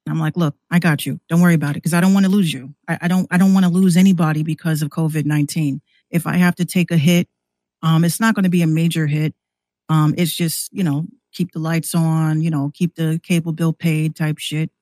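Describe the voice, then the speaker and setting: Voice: medium pitch, little pitch movement, fairly quick, darkish tone, no echo. A podcast guest who hosts a show, talking casually and at length in a rambling, conversational way.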